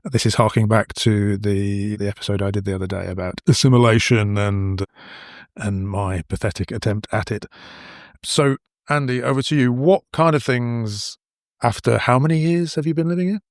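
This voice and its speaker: A man hosting a podcast for English learners, speaking clearly with playful introductions. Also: fairly low pitch, normal speed, no echo, clean studio sound. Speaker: podcast host.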